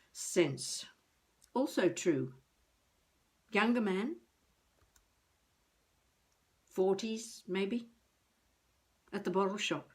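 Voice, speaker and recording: A woman playing a feisty elderly would-be novelist, rambling wryly and haltingly through a comic monologue as a video diary. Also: mid-range voice. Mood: wry musing. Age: older. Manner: wry, musing, halting, talking to herself.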